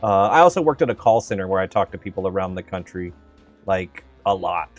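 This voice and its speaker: Chatty low-voiced gaming streamer. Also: male voice, lively intonation, fairly quick. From Southern United States. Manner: rambling and chatty, with many fillers. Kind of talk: casual gameplay commentary. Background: faint game music.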